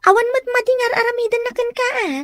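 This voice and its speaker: A woman's voice: very high-pitched, fairly even intonation, fast talker, fairly bright tone, no room sound, studio quality. A woman playing an inquisitive, concerned young friend in an Ilocano audio drama. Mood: chiding.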